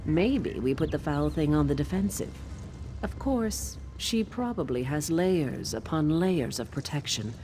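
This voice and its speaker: An earnest forest witch from a fantasy game. Her voice is fairly low and warm, measured and determined, with faint noise and ambience behind it. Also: lively intonation. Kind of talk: video game character acting.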